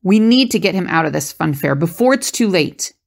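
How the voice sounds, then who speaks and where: A woman's voice: fairly low pitch, animated, fairly quick, creaky. A woman playing a sensible, perceptive, worried adventurer in an audio drama. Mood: urgent.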